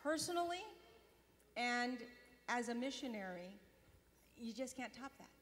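A middle-aged woman on a convention stage telling a mission testimony into a handheld mic, animated and gesturing. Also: a little high, unhurried, a little hiss or hum. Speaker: mission volunteer and board member. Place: large convention hall stage. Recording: long hall reverb.

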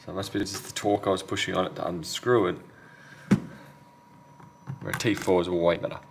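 Low-pitched man muttering through hands-on work. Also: fairly even intonation, brisk. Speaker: phone repair technician and video host. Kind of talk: casual hands-on repair commentary. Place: indoor repair workbench. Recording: clear background noise.

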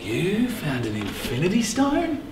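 A middle-aged man playing a teacher in an amateur short film, speaking in a blunt, deadpan way. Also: fairly high pitch, a little slow. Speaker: teacher character. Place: school corridor and classroom. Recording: echoey room with faint hiss.

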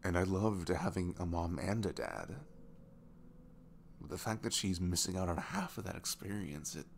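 A man playing a sweet, earnest single dad in an intimate ASMR roleplay, soft and hesitant, often dropping to a whisper. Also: deep voice, gravelly, a little hiss or hum. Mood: wistful, vulnerable. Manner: hesitant, gentle, murmured.

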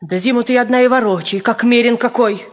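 An actress playing a sharp-tongued, jealous and desperate peasant wife in a 1950s radio drama, with rustic, scolding speech. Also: female voice, a little high, fairly quick, slight background noise, lightly acted. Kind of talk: 1950s Soviet radio play acting.

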